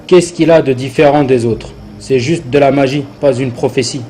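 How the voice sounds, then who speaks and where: A male actor voices a haughty, wealthy cartoon sceptic. He speaks at a middle pitch, dismissive and boastful, with faint music underneath. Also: normal speed, dark tone, lightly acted. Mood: condescending. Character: arrogant rich man, scoffing and worldly. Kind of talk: dubbed religious cartoon acting.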